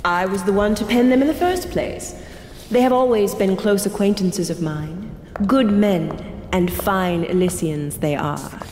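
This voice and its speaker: A woman's voice: mid-range voice, measured pace, darkish tone, slight background noise, close to an everyday voice. An actress playing a kindly, scholarly lady mentor in a fantasy audio drama, speaking formally and graciously. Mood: cautioning, storytelling. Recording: long hall reverb, music behind.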